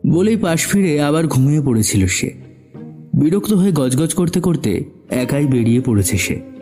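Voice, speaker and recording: Male narrator for suspense audio story: mellow, dark tone, mid pitch, soft music underneath. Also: expressive, normal speed.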